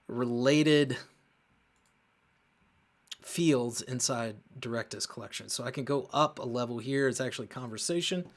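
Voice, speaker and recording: A man hosting a casual coding walkthrough, thinking aloud in a halting way as he works and often muttering to himself. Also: mid-range voice. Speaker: host of a coding show.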